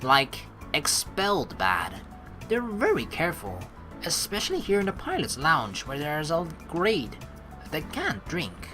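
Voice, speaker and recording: A woman hosting a game playthrough, reading narration aloud and adding casual commentary in a playful, expressive manner. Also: low-pitched, measured pace, soft background music. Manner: playful, expressive, acts out lines. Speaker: let's play host.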